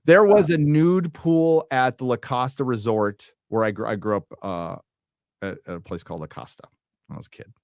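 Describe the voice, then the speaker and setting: A man's voice: medium pitch, normal speed, no echo, clean studio sound. A middle-aged man hosting a casual live show, laid-back and swearing freely, reading donations and laughing often as he talks. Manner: laughs often mid-sentence. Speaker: podcast host. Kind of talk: casual live-stream chat.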